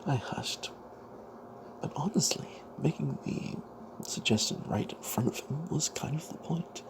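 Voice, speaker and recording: A man telling a fantasy tabletop tale in a breathy ASMR whisper, halting with long pauses. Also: a little high, rough recording.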